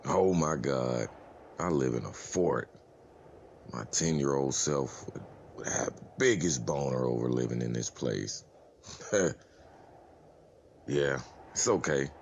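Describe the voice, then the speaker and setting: A man's voice: very deep voice, lively intonation, takes it slowly, vocal fry, pauses often, slight background noise, full character voice. A man playing an easygoing, jokey survivor chatting casually in a dramatized comic. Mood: amused. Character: easygoing, joking male survivor reporting on prison chores. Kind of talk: dramatized comic-book voice acting.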